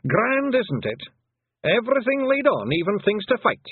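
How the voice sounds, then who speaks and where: Man doing a high, cheerful Scottish pixie chief. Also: big pitch swings, moderate pace, breathy edge, no echo, heavily acted. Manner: cheerful, boisterous. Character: cheerful tiny male pixie warrior chief. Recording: tinny phone-like sound.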